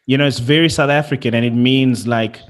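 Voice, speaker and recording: A man's voice: medium pitch, fairly even intonation, brisk. A man hosting an interview in an enthusiastic, casual way, often repeating words as he gets started. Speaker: interview host.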